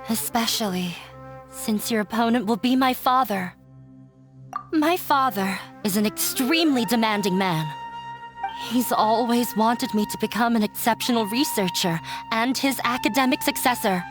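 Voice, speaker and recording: Actress as young woman, game character, high voice, earnest, bitter, resigned, music in the background. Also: normal speed, lightly acted. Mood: bitter, hurt. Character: wounded young woman, runaway daughter of a demanding academic. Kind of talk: scripted video game voice acting. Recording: music behind the voice.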